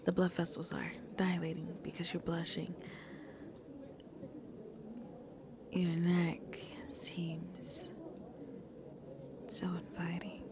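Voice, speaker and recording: A woman playing a shy, gentle century-old vampire who seems in her twenties, soft-spoken and hesitant in an ASMR roleplay, often dropping to a near-whisper. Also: fairly low pitch, monotone, noisy background. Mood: tempted. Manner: hesitant, apologetic, halting, breathy near-whispers.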